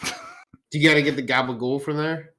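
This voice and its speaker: A man's voice: fairly high pitch, fairly even intonation, moderate pace, bright, forceful tone. A young man tossing crude wisecracks into a casual chat.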